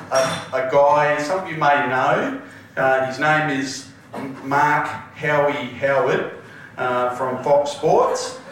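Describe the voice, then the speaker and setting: A man hosting a live panel, jovial and loud, often restarting his sentences. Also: fairly high pitch. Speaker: panel host. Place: large event hall with audience. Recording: echoey hall, distant mic, crowd noise.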